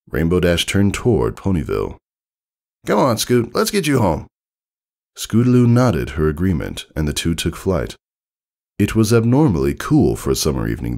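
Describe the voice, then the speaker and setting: A man reading a story aloud as narrator, in an expressive, measured and dramatic storytelling manner. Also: extremely low pitch, gravelly.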